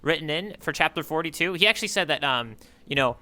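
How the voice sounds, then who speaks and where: A man with a somewhat high, very bright voice co-hosts a casual manga podcast. His delivery is clean and crisp, and he likes to riff jokily as he chats. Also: lively intonation, fast talker. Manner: jokey, playful riffing. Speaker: podcast co-host.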